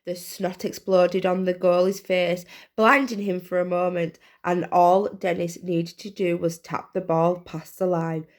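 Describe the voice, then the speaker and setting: A woman's voice: fairly low pitch, level tone, measured pace, few pauses. A woman reading a children's storybook aloud as narrator. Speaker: reader, teacher.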